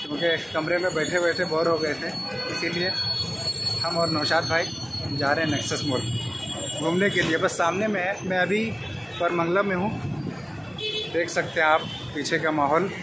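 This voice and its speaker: A man's voice: fairly high pitch, fairly even intonation, normal speed, pauses often, low-quality recording. A young man chatting casually to viewers as he walks through a busy shopping mall, filmed on a phone held at arm's length. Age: young adult. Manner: chatty, repeats himself, addresses viewers. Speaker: vlogger. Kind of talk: casual vlog narration. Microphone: phone camera at arm's length. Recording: phone vlog with loud music and crowd. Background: loud music and crowd.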